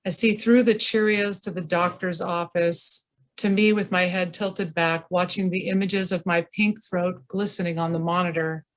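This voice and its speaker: A woman reading her own memoir aloud at a virtual reading, slow and deliberate, over a video call. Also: fairly low pitch, fairly even intonation. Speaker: reader.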